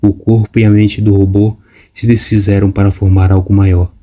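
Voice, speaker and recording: A man reading a storybook in a steady, scripted narration. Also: on the low side, normal speed. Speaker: audiobook narrator. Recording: music playing behind. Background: music.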